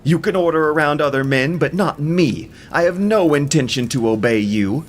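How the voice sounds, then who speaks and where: Man playing a scornful, higher-voiced young Greek warrior. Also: moderate pace, slight background noise, lightly acted. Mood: defiant anger. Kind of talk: audio drama of ancient epic.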